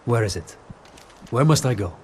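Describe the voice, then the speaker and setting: An actor playing a troubled but determined warrior in a video game, speaking in an earnest, stern, demanding way. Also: male voice, mid-range voice, expressive, moderate pace, a little mellow, natural voice. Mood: stern, wary. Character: troubled, determined male warrior, doubting his faith. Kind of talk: dramatic video game acting. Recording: reverberant, with clear background ambience noise.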